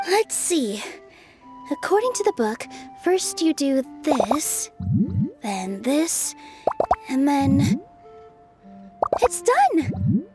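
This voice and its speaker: A gentle young dancer with a high, light voice who speaks softly and earnestly, full of encouragement. Faint game music hums beneath her lines. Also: very animated, very slow, long pauses, full character voice. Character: gentle hopeful young female dancer-mage. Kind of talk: video game cutscene dubbing.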